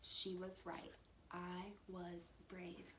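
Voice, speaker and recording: A woman reading a children's storybook aloud like a teacher, animated and dramatic, with hushed asides to her young listeners. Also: fairly low pitch, very slow. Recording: roomy echo with faint background noise.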